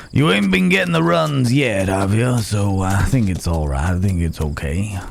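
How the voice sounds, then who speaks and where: A rugged cowboy drifter, acted by a man for an ASMR roleplay, with a very low, gravelly voice. He talks slowly in folksy Old West phrasing, pausing as he goes, gently teasing and protective, with faint crickets in the night behind him. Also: slight background noise, exaggerated voice. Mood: teasing, good-humored. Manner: folksy old-West drawl phrasing, unhurried, hesitant pauses. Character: rugged wandering cowboy stranger, gently teasing, dangerous but caring. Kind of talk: ASMR roleplay, intimate acted monologue to the listener.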